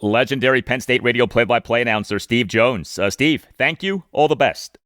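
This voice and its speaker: A man's voice: medium pitch, moderate pace, fairly bright tone, no room sound, studio quality. A man hosting a sports podcast in a conversational, scripted-yet-personal presenting style. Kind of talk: conversational hosting and host-read ads.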